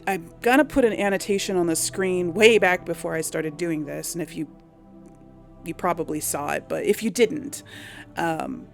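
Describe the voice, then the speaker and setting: A woman's voice: fairly low pitch, gravelly. A woman giving casual, unscripted video game commentary, thinking aloud and explaining things to viewers in a chatty way. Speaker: video game let's-play host.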